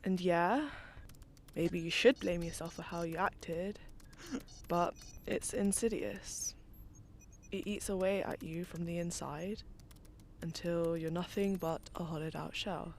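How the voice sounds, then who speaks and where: A woman playing a slow, earnest, weary engineer. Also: fairly low pitch, fairly even intonation, a little hiss or hum.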